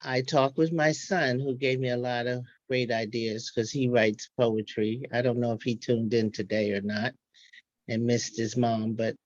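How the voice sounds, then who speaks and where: A woman's voice: extremely low pitch, flat delivery, unhurried, darkish tone, dry recording. Older lady chatting about a workshop she was in, dry muffled call audio. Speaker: workshop participant and writer. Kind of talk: casual recollection in a panel discussion. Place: remote call-in to online event.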